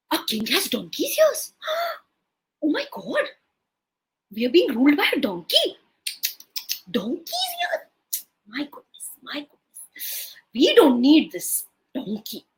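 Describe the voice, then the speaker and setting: A woman voicing excitable storybook townsfolk in an exclamatory manner. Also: sits high, slow pace, long pauses, full character voice. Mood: shocked. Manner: gasping, exclamatory. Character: excitable gossiping townsfolk, easily shocked.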